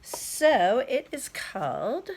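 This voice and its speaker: Slow-paced woman reading to children. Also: fairly high pitch, very animated, a little mellow, poor audio. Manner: addresses young listeners informally. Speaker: children's read-aloud storyteller. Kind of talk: storybook narration for children.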